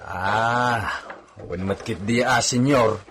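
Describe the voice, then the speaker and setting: A man's voice: on the low side, takes it slowly, a bit bright, slight background noise. A man with an Ilocano accent playing a nervous, deferential, hesitant henchman in a radio drama. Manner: hesitant, stammering.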